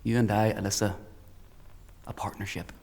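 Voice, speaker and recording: Man acting a low-voiced, menacing, mocking villain. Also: flat delivery, darkish tone, lightly acted. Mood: controlled menace. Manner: theatrical, deliberate, taunting, dropping to whispers. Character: menacing male fanatical hunter, coldly articulate, mocking. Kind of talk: dark fantasy audio drama acting.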